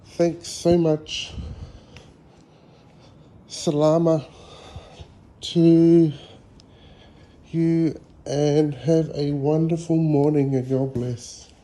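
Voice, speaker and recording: Slow, relaxed New man outdoors. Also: a little high. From New Zealand. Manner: relaxed, rambling, with hesitant fillers. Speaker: vlogger. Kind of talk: casual walking tour vlog. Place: outdoors at a school grounds.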